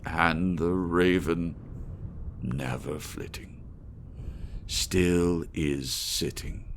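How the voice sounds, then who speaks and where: A man speaking as a reader and host of his own audiobook channel, with rain and thunder behind him. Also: creaky. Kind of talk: gothic poem narration and informal outro. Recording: faint rumbling rain and thunder noise. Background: rain and thunder sounds.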